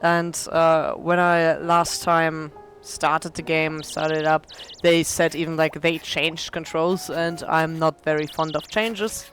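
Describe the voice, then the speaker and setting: A hoarse woman casually rambling through gameplay commentary as a let's play creator, self-deprecating with many fillers. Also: low-pitched, level tone, slow pace, few pauses, faint music behind.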